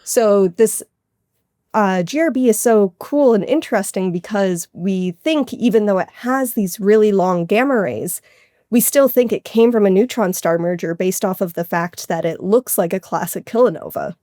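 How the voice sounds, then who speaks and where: A woman's voice: mid-range voice, moderate pace, warm tone, no echo. Enthusiastic woman scientist, close dry sound. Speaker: guest scientist, astrophysics researcher. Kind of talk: conversational explanation in an interview.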